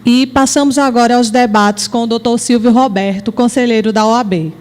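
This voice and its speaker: Brazilian female chair, brisk formal announcer, slightly high pitch. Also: steady tone, a little mellow. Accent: Brazilian Portuguese. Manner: brief, formal. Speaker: panel chair.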